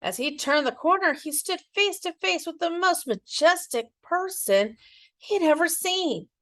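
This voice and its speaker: A woman reading a children's picture book aloud in an expressive, teacherly storytelling way. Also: extremely high pitch, a little slow, dry recording, clean studio sound.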